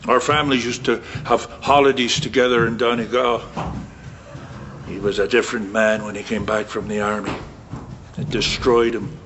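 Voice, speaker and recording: An actor plays a bitter, guarded Scottish man in a naturalistic radio drama. His voice sits at an ordinary male pitch. The sound is slightly dull, with some noise behind him. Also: fairly even intonation, normal speed, close to an everyday voice. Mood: grim. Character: bitter, wary man discussing his violent war-damaged cousin. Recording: muffled, with clear background noise.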